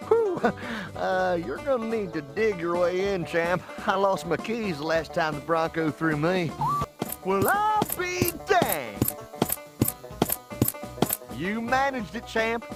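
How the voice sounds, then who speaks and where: Wild West wrangler, American Western accent. Folksy, showy, animated, over music. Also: female voice, medium pitch, slow. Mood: folksy, impressed. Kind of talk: animated game cutscene acting.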